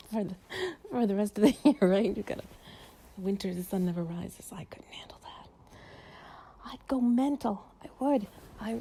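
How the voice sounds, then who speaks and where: A woman playing an affectionate, playful girlfriend in an improvised bedtime cuddle audio, speaking softly and breathily, often near a whisper, in a rambling way. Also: medium pitch, creaky, a little hiss or hum, natural voice. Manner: soft, rambling, affectionate, giggly. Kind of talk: improvised intimate relaxation roleplay audio, ASMR-like.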